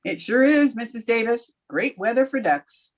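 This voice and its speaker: A sharp, wry female English teacher, played by an actress in an old-time radio comedy. Her delivery is highly animated, swinging up and down in pitch as she lands dry, sardonic quips. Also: normal speed, lightly acted. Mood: wry narration, banter. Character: wry, quick-witted female high school English teacher.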